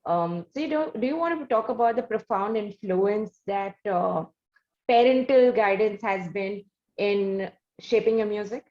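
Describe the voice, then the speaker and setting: An Indian-accented woman speaks as the host of an interview, at a middle pitch. She builds her questions slowly and wordily, often rephrasing as she goes. The sound is roomy and echoey, with slightly dulled audio. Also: a little mellow, lo-fi sound.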